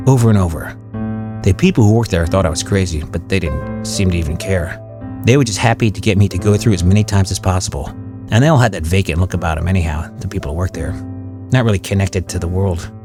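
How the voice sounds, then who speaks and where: A man acting as a bitter, haunted convict, narrating a horror audio drama in measured, brooding tones over music. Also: lowish voice, natural voice. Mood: grim, matter-of-fact. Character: bitter, haunted male arsonist convict recounting a horror tale. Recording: music bed under the voice.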